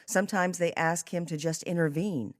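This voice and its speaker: A woman's voice: lowish voice, normal speed, no echo, clean studio sound. A woman hosting a podcast interview, talking conversationally about a book and faith. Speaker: podcast host.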